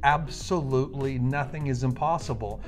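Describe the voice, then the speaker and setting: A man preaching with enthusiasm, reading a Bible passage slowly and emphatically. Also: mid-range voice, soft background music. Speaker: minister.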